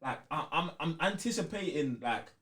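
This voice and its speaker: Animated London man, slangy and emphatic. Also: fairly high pitch, normal speed. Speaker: gaming podcast co-host. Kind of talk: casual chat about video games.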